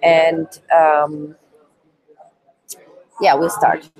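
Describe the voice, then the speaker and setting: A woman in her twenties presents a live online youth work course in careful, halting English, with many ums and pauses. Her voice sits low and unhurried. She is sick at the moment, and English is not her first language. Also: completely flat delivery, a little mellow, low-quality recording. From Switzerland, Turkish roots. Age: 20s. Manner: hesitant non-native English, many ums.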